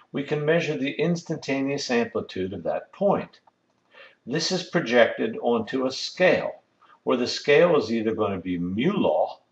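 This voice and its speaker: A man's voice: medium pitch, echoey room, muffled recording. A man giving a methodical, step-by-step technical lesson as an instructor. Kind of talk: explanatory screen-recorded lesson.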